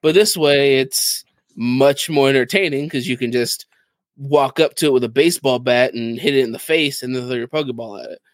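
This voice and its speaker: A man's voice: mid-range voice, normal speed, no echo. A man chatting casually as a podcast co-host, explaining video games with enthusiasm. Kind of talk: casual gaming podcast chat.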